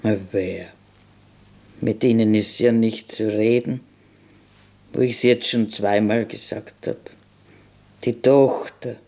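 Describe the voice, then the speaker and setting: A man's voice: fairly low pitch, steady tone, slow, vocal fry, long pauses, dark tone, voice pushed up. A man voicing an ancient, bitter village innkeeper woman who speaks bluntly in a radio play. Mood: curt. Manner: blunt, curt, grim dialect-tinged speech. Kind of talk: German radio play acting.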